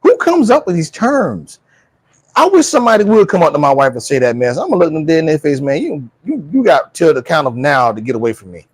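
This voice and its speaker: A man's voice: a little high, very animated, a little fast, breathy edge, dry recording. A man co-hosting a casual panel talk, informal and emphatic, fond of throwing out rhetorical questions. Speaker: talk show co-host. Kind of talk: casual, animated panel chat.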